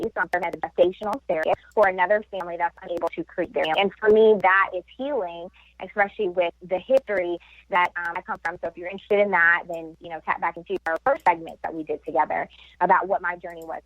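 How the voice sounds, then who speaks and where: A woman's voice: lowish voice, fairly bright tone, no room sound. Explaining woman on a dull lo-fi line. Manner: explanatory, frequent fillers and "right?" tags. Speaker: health and wellness expert guest. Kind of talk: explaining advice on a radio talk show.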